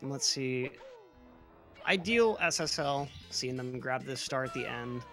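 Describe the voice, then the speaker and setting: A man of middle pitch talks through a video game race, breaking down techniques with a joking edge. His voice is bright and forward, with faint music in the background. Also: expressive, measured pace. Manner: chatty, joking, analytical. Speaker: speedrun commentator. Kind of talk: casual race commentary.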